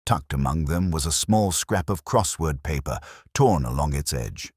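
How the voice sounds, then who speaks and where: A man narrating a first-person detective mystery in a measured, reflective, literary manner. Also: extremely low pitch, expressive, husky, no room sound, clean studio sound.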